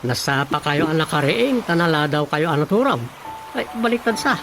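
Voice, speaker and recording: A man's voice: a little high, lively intonation, normal speed, background noise. A man with a Filipino accent playing a silly, gullible grown child in a rural radio comedy drama. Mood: naive. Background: music.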